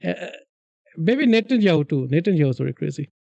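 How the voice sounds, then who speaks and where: A man hosting a livestream in casual conversation, speaking in a hesitant, halting way. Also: on the high side, big pitch swings, vocal fry, warm tone, no echo, muffled recording. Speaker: livestream host. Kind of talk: casual chat.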